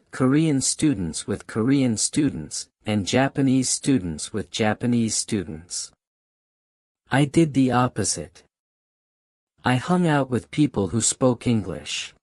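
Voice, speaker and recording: Slow emphatic man with Hong Kong accent. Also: medium pitch, darkish tone, no room sound, clean studio sound. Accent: Hong Kong Cantonese. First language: Cantonese. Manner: emphatic, repeats key phrases. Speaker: channel host giving language advice. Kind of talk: motivational talk to camera.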